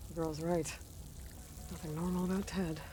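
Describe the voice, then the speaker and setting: A woman's voice: fairly low pitch, level tone, moderate pace, noisy background, natural voice. An actress playing a guarded, observant young woman adventurer in a video game, making dry, skeptical remarks. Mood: dry, watchful.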